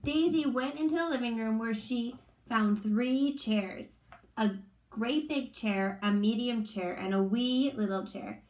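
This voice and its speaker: A woman's voice: fairly high pitch, unhurried, darkish tone, roomy sound, rough recording. A woman reading a children's storybook aloud like a teacher, expressively and in simple phrasing for young listeners.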